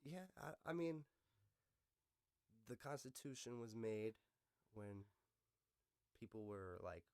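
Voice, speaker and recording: A man's voice: fairly low pitch, expressive, slow, darkish tone, smooth, dry recording. American man, dry close-sounding podcast host. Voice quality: slangy, profane speech. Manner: loose, conversational, opinionated. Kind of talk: casual unscripted podcast chat.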